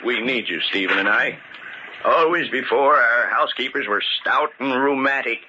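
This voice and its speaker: A man's voice: mid-range voice, moderate pace, natural voice. Stonecutter near sixty, played by a man, obstinate and emphatic, vintage radio melodrama, dull hissy sound. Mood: pleading. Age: older. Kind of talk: 1940s radio drama acting. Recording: dull, noisy old radio recording.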